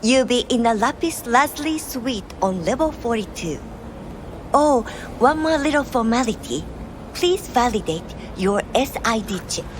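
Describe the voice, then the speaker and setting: A woman's voice: a little high, animated, measured pace. A woman playing a formal, polite luxury hotel receptionist in a video game, with courteous customer-service phrasing. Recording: clear ambient noise behind.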